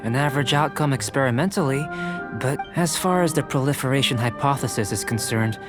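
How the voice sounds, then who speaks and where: A composed young male scholar, acted by a man for a video game, speaks in formal, clinical phrases. His courteous, analytical delivery sits at a middling pitch. Game music plays clearly behind him. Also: brisk, lightly acted. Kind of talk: scripted video game voice acting.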